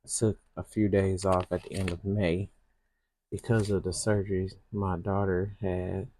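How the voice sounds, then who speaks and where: A woman's voice: a bass voice, little pitch movement, a little hiss or hum, low-quality recording. A woman from Michigan with a Southern US accent, rambling in a casual way as she decorates her planner. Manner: slow, soft-spoken, rambling with restarts. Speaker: planner vlogger.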